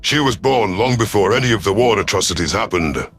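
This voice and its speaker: Man voicing a low-pitched, commanding reptilian alien war chief. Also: expressive, brisk, a bit bright. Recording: music under the voice.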